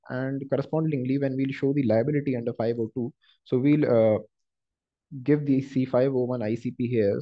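Man giving a muffled software tutorial. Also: medium pitch. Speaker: software trainer. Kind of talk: screen-share tutorial, explained step by step. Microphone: screen-recording voice-over. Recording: dull lo-fi voice recording.

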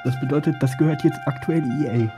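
A man's voice: fairly high pitch, steady tone, fast talker. A man chatting casually during a live game playthrough as a streamer. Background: loud game music.

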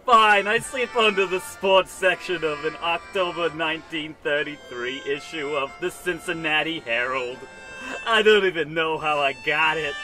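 A man acts a down-and-out alley bum in a radio comedy, scrappy and quick to defend himself. His voice is fairly high and sharp. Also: faint music behind, poor audio. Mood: defensive. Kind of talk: old-time radio comedy acting.

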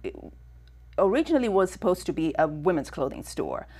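A middle-aged woman from Kenya with a Kenyan accent, a Swahili native speaker, answering interview questions in a TV studio, measured and thoughtful with many fillers. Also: fairly low pitch, sing-song, darkish tone. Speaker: guest, fashion designer. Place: TV studio set.

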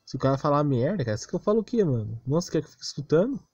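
A man's voice: fairly high pitch, sing-song, racing, darkish tone, husky, no room sound, lo-fi sound, faint music behind. A Brazilian man ranting casually and unscripted as a gaming video creator, defensive and exasperated, on a close, cheap-sounding mic. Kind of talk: casual unscripted rant over game footage.

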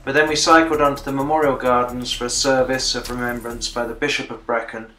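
A man's voice: medium pitch, level tone, fairly quick, sharp tone, roomy sound, soft background music, low-quality recording. A British man in his sixties, a veteran narrating a video diary of a commemorative bike ride in a plain-spoken, dryly understated way. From Britain. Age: 60s. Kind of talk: reflective voice-over narration.

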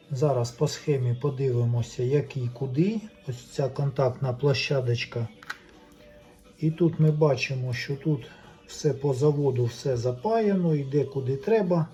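A man with a dark, mellow, mid-pitched voice talks through hands-on repair work. He sounds like a hobbyist thinking aloud, casual and a little tentative as he goes step by step. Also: animated, a little slow, soft background music. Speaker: hobbyist repairer presenting a DIY video.

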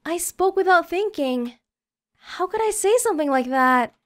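A woman voicing a shy, self-reproaching, gentle schoolgirl from a visual novel, soft and hesitant. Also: very high-pitched, expressive, moderate pace, voice pushed up. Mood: distressed, ashamed. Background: soft game music.

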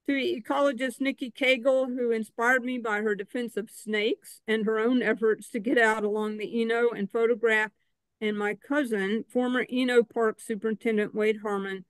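A man's voice: sits high, smooth, no room sound, rough recording. An older man giving a prepared, earnest talk about his own photography over a video call. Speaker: exhibiting photographer. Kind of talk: prepared speech introducing own work.

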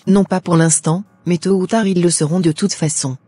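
A man voicing an earnest young cartoon woman, husky and high. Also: fairly even intonation, moderate pace, dark tone, no room sound. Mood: earnest, subdued. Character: earnest young woman, obedient yet devoted to her love. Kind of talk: scripted cartoon dialogue.

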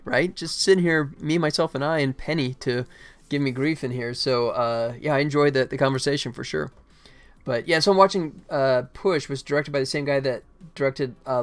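A man's voice: medium pitch, fairly bright tone. A middle-aged man chatting casually on a game developer livestream, rambling and jokey in a self-deprecating way, speaking into a desktop mic indoors. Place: indoor room at a computer. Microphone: desktop microphone.

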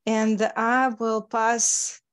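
A woman's voice: on the high side, level tone, extremely slow. A woman from Ukraine introducing herself on a video call in a friendly, businesslike way. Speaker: open source program manager.

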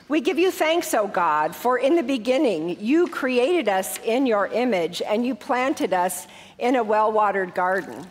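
Middle-aged woman pastor, a little stuffed up from a cold, friendly and conversational, long church reverb. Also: medium pitch. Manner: warm, conversational announcements then measured liturgical prayer. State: congested with a head cold. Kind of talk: church welcome and liturgical prayer. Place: large church sanctuary.

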